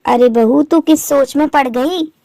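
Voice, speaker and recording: A woman voicing a caring elderly mother-in-law bird in a moral-story cartoon, expressive and gently chiding. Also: high-pitched, a little slow, vocal fry, dry recording, clean studio sound. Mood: delighted.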